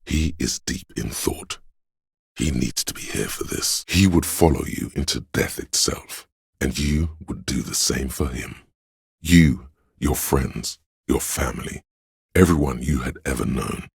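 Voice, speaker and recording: Super deep raspy guy doing grave, measured story narration for a game, lots of gravel. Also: dry recording, studio quality. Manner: measured, grave, literary delivery. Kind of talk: dramatic scripted game narration.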